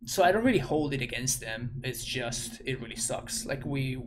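A man's voice: medium pitch, animated, normal speed, background noise. Laid-back man with an Argentinian accent. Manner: laid-back, thinking aloud, chatting with viewers. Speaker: streamer. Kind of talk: casual commentary while playing a card game. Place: home room at a desk. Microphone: headset.

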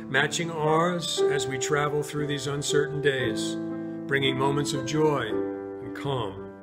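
An American man in his sixties, a pastor preaching and praying in a solemn, measured way with pauses between short phrases. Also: on the high side. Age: 60s. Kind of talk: sermon, scripture reading and prayer. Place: inside a church, near the altar.

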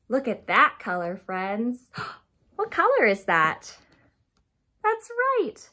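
A woman in her thirties reading a picture book aloud to young children in an expressive, dramatic way, stressing key words and addressing her listeners directly. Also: high voice. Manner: dramatic gasps and emphatic, drawn-out key words. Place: small furnished room at home, indoors.

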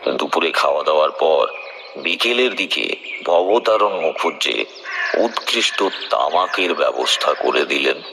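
A man playing a seasoned tantric telling a horror tale in an audio drama, grave and knowing, slow and deliberate with dramatic pauses. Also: very deep voice, animated, full character voice. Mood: grave, ominous. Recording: clearly noisy background ambience.

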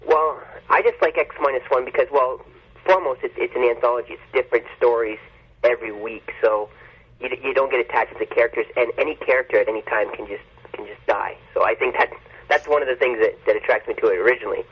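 A man talks casually as a podcast guest. His voice is husky and mid-pitched. The audio is muffled and lo-fi. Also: quick pace. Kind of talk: casual interview chat.

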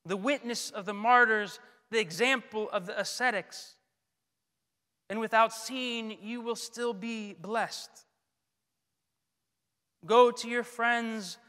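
Male priest, thirties, bright high voice, solemn and deliberate sermon delivery into a pulpit mic. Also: clean tone. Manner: solemn, deliberate, with frequent pauses. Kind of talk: sermon, measured scripted delivery. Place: large church, indoors at a pulpit. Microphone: gooseneck pulpit microphone. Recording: long church reverb.

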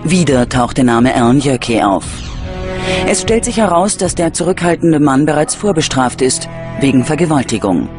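A man's voice: medium pitch, expressive, moderate pace. A man reading scripted narration for a true-crime documentary. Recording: music under the voice. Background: background music.

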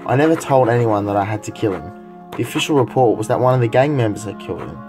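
A man plays a hardened police interrogator in a modern radio drama. He is blunt, skeptical and insistent, with an average-pitched voice. Faint music sits behind him. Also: expressive, fast talker, lightly acted. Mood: grim confession. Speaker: actor in a radio play. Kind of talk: modern radio play acting.

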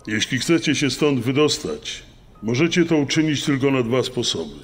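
A stern, threatening prison warden, played by a man in a dramatized podcast. The mid-pitched voice rings with long reverb as it lays down warnings. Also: lively intonation, close to an everyday voice. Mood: menacing warning. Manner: threatening, deliberate. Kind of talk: dramatized true-crime podcast. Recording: long reverb, music underneath.

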